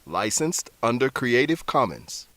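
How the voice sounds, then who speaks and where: A man narrating a scripted English lesson in a deliberate, explanatory manner, like a teacher. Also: mid-range voice, sing-song, loud music behind the voice.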